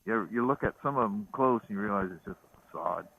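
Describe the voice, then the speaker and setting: A man's voice: on the low side, fast talker, gravelly. Southern man rambling on a motorcycle. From American South. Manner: rambling, storytelling. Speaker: vlogger. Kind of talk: casual chat while riding. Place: outdoors on a motorcycle. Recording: tinny intercom with faint road noise.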